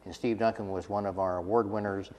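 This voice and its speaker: A man's voice: fairly low pitch, steady tone, fast, a little mellow. A man hosting a local TV interview show, chatting friendly with frequent fillers and restarts. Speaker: host, chapter executive director. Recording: echoey studio with faint hiss.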